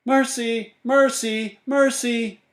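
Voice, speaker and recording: A man voicing a flustered, doting storybook spouse with melodramatic, drawn-out pleading. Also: very high-pitched, fairly even intonation, a bit bright, pitched above the performer's own voice, full character voice. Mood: distraught, pleading.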